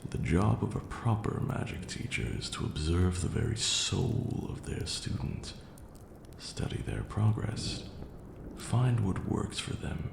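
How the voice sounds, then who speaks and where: A haughty, patient vampire lord, acted by a man for an ASMR roleplay, lectures like a pompous tutor of magic. The voice is deep and slow, with long deliberate pauses. It comes through with a clear background noise. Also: very animated, heavily acted. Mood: patient lecturing. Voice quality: low, gravelly. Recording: roomy echo, audible background hiss.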